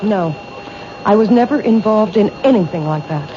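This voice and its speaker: A woman's voice: medium pitch, animated, normal speed, natural voice. An actress playing a wary young bookstore owner in a 1970s radio drama, tense in her replies. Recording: hissy lo-fi old radio broadcast.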